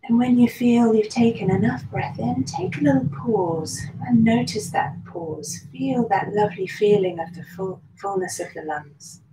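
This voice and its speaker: A woman leading a guided meditation, speaking slowly and soothingly with long pauses. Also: medium pitch. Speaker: meditation and yoga teacher. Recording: roomy echo with faint background noise.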